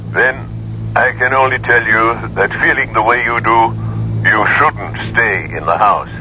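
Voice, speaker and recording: A man playing a brisk, businesslike professional with little sympathy in a radio drama. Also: mid-range voice, natural voice. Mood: cool, dismissive. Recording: dull, noisy old radio recording with hum.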